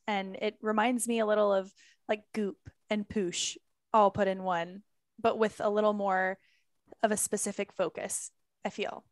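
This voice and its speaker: A woman hosts a podcast interview in a bubbly, upbeat way, with a voice of middle pitch. The recording is dry and close, with no room sound. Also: no room sound. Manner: bubbly, laughs easily, upbeat. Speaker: podcast host.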